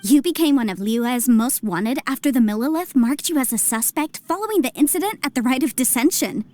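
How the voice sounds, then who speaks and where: Perky, high-voiced young female legal advisor. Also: lively intonation, a little mellow. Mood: eager, chatty. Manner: brisk, articulate, self-promoting patter. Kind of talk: scripted video game acting.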